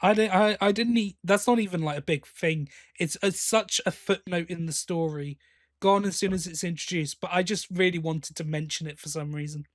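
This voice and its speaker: Higher-voiced man chatting on a podcast. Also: moderate pace, no echo, clean studio sound. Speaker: podcast co-host. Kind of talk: casual review chat.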